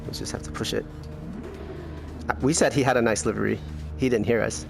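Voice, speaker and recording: Gravelly, tired-voiced man commentating a racing game. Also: medium pitch, steady tone, fairly quick. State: losing his voice, clearing throat. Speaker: sim racing streamer. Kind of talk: casual gameplay commentary. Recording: music and car engines behind. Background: racing game music and engine sound.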